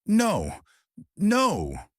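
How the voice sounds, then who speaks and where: A man's voice: sits high, huge pitch swings, drawn-out words, no echo, clean studio sound, pitched above the performer's own voice, exaggerated voice. A man acting a panicked young college athlete, shaken. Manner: breathless, shaken, breaking voice.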